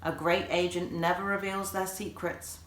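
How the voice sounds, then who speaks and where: A woman's voice: on the low side, little pitch movement, normal speed, close to an everyday voice. A woman voicing a playful, secretive detective aunt in a children's story, teasing and knowing in manner. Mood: sly. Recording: echoey room.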